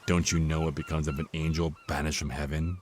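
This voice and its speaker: An actor playing a cruel, contemptuous Archangel of Death in an audio drama, with a mocking, theatrical delivery. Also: male voice, very deep voice, level tone, fairly quick, a little mellow, a little hiss or hum. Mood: mocking, gloating. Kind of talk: dramatic villain monologue in audio roleplay.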